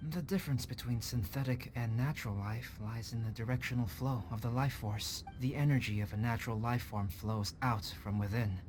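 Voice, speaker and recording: A man voicing a calm, scholarly young alchemist in a video game, speaking in a measured, articulate, contemplative way. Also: medium pitch, noisy background, natural voice. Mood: calm, explanatory. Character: calm, scholarly young male alchemist, artificially created human. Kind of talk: scripted English video game voice acting. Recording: prominent game music behind voice.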